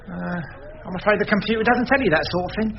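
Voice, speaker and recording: A man's voice: high-pitched, quick pace, vocal fry, noisy background. A man acting an eager, chirpy, helpful immigration clerk in a radio drama. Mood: pleased, helpful. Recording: muffled, distant radio recording.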